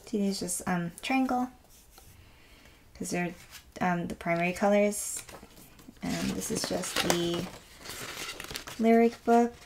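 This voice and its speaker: A woman with a raspy voice today, chatting softly and gushing with lots of fillers as she unboxes an album at a table. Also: lowish voice, takes it slowly, long pauses, noisy background. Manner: soft, rambling, gushing with many fillers. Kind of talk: casual unboxing chat. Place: indoors at a wooden table.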